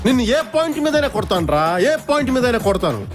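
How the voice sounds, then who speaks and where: Man acting aggressive film bully, comic, very high voice, rapid-fire, music behind. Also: very animated. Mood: menacing.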